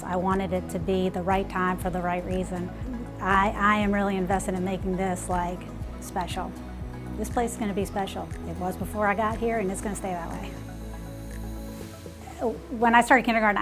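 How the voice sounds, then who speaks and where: A woman in her forties, a school principal, talking earnestly and reflectively in an interview, often saying "like" and "you know". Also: medium pitch. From United States.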